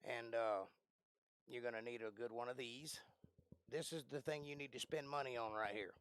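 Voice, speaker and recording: A man with a raspy, worn voice that he is losing hosts a casual livestream from a cramped bedroom. He talks into a close desk mic that leaves the sound dry, and he rambles while often clearing his throat. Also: medium pitch, steady tone, normal speed, a bit bright, no echo. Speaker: livestream host. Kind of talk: casual live-stream chat. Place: small bedroom. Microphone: close desk microphone.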